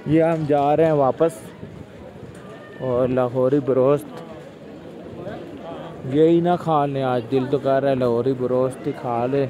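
A man's voice: medium pitch, steady tone, slow, pauses often, dark tone, lo-fi sound. A young man vlogging casually as he walks a busy night market, chatting and calling out to his friend. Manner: chatty, calls out to his friend while walking. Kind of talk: casual walking vlog. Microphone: phone held at arm's length. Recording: busy crowd noise behind. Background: crowd chatter and traffic.

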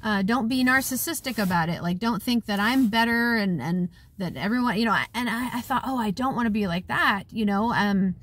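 Woman in her 40s, phone vlog from her car, tired, earnest, rambling, slightly high voice. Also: fairly quick, few pauses, fairly bright tone. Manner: rambling, heartfelt, many restarts and fillers. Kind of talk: casual talk to camera. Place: parked car interior. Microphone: phone at arm's length.

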